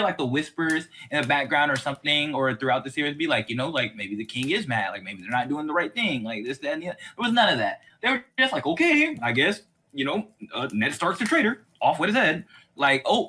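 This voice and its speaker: Animated, bright-voiced man chatting over background noise. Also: a little high. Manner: animated, exasperated, acts out mocking imagined quotes. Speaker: host of a fan discussion series. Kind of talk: casual opinionated chat about a TV series.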